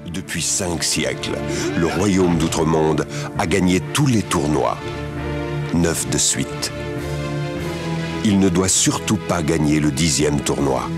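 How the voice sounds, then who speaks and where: An actor playing a grave, very virile narrator of a fantasy tournament legend in a dubbed cartoon. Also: male voice, very deep voice, slow, long pauses, full character voice. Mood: grave, ominous. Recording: loud music behind film soundtrack.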